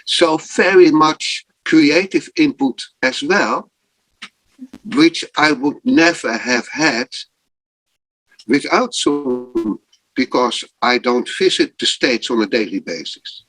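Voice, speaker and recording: Slow, thoughtful man on a video call. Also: medium pitch, a bit bright, no room sound, poor audio. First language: not German. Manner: slow, thoughtful, pausing often. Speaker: guest improviser. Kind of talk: casual interview over video call.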